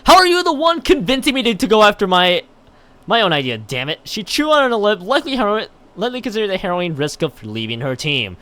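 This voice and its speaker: Guy streaming a visual novel, kinda high voice, really up and down, stumbles when reading. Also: big pitch swings, fast talker, bright, forceful tone. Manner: stumbles and rereads lines while reading aloud. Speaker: live streamer. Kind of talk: livestream chat and reading a visual novel aloud.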